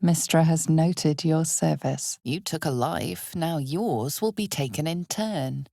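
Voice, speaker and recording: Slow, low-voiced ominous fantasy game narrator woman. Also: gravelly, mellow, dry recording, studio quality, heavily acted. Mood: solemn, ominous. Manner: measured, dramatic storytelling delivery. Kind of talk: scripted second-person fantasy game narration.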